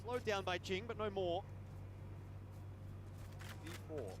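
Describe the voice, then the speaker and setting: A man's voice: sits high, takes it slowly. A man giving live play-by-play commentary on an esports match. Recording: broadcast over noisy game sound.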